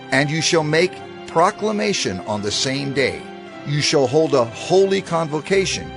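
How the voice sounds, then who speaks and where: A man reading scripture as dramatized narration in a solemn, deliberate, declamatory way. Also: fairly high pitch. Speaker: scripture reader. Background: music.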